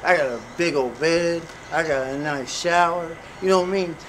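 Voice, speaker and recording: A man with a slightly high voice talks earnestly and a little preachily, telling his story and leaning on "you know" again and again. Traffic and street noise run clearly under the recording. It sounds like a street interview recorded outdoors. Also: normal speed, a bit bright.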